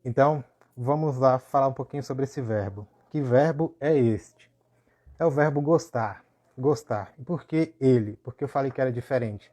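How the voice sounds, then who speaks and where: A man teaching a live language lesson, explaining calmly and greeting viewers by name. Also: mid-range voice. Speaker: Portuguese teacher.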